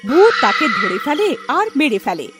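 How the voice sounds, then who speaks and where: A woman narrating a cartoon horror tale in a lilting, expressive storytelling style. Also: high-pitched, normal speed, over loud music.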